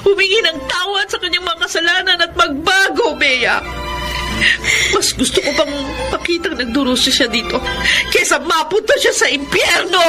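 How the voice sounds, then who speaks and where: A woman's voice: very high-pitched, moderate pace. A woman playing an aggrieved adult daughter in a Filipino radio drama, tearful, scolding and impassioned, over music. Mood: anguished, bitter. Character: outraged adult daughter shaming her sinful mother.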